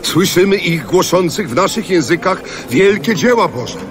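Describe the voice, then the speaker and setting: Animated, amazed man in a crowd. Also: sits high, moderate pace. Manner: amazed, declamatory. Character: astonished man in a Jerusalem crowd. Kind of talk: dramatized audio Bible acting. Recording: studio voice over busy crowd sounds. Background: crowd ambience.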